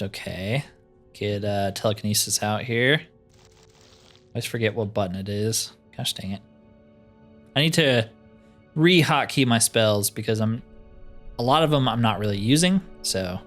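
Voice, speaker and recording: A man's voice: lowish voice, fairly bright tone. A man giving casual gameplay commentary, thinking aloud with dry, joking asides. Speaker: gamer narrating a roleplay playthrough. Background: game music.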